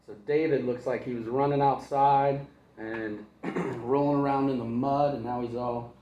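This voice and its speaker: A male kindergarten teacher reads a picture book aloud, wandering off into chatty asides and little chuckles. His pitch is mid-range for a man, and the sound bounces around a roomy, echoing space. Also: fairly even intonation, moderate pace, mellow, dull audio, slight background noise. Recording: echoey room.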